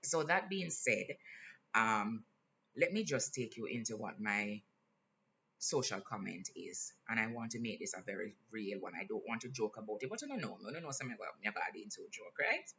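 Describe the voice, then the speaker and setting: A man with a Jamaican accent talking in a casual vlog, earnest and candid, pausing often with fillers. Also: on the low side, sing-song, creaky, bright, forceful tone. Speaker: vlogger. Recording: slightly dull lo-fi sound, faint hiss.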